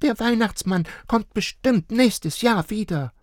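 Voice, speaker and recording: Storybook figure: a man doing an earnest small girl, high and squeaky, dry and close. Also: unhurried, no echo. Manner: high childlike voice, insisting.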